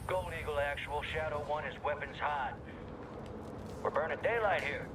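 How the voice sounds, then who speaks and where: Actor as a high-pitched brash military commander. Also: male voice, moderate pace, close to an everyday voice. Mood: brash, urgent. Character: brash male military contractor commander on radio. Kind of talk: military radio callouts in a game cutscene. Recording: loud artillery and vehicle noise.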